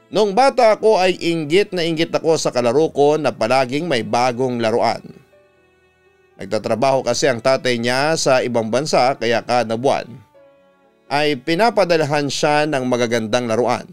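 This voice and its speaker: A man with a Filipino accent narrating a scripted story as a radio announcer, measured and clearly enunciated. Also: medium pitch. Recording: music bed under the voice. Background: music.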